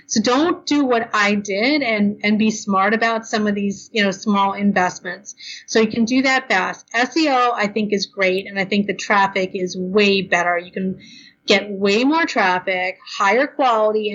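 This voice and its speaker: A woman guest on an interview, talking casually and quickly with lots of fillers, tired and a bit hoarse. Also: mid-range voice, a bit bright, muffled recording. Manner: chatty, fast, lots of ums and you knows. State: tired, losing her voice. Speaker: blogger guest. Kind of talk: podcast interview, casual advice.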